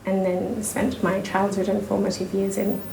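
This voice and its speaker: Woman, from Indonesia, interview guest, gravelly, many ums and restarts, echoey noisy recording. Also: mid-range voice, flat delivery, moderate pace. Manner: thoughtful, many fillers and restarts. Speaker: researcher guest. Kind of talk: casual interview talk. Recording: echoey room with noise.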